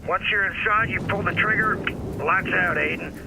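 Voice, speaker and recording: Wry, higher-voiced hacker sidekick. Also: male voice, moderate pace, gravelly, noisy background. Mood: wry, encouraging. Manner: wry, casual. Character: wry, folksy male hacker ally giving instructions. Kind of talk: video game dialogue.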